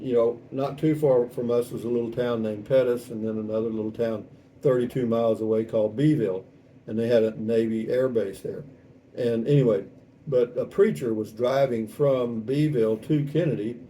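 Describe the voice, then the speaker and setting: Texan male, 70s, wry folksy vlog host. Very soft-edged, warm tone, casual delivery, distant mic with slight noise. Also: mid-range voice. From South Texas, United States. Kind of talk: casual chat, reading out and answering viewer comments.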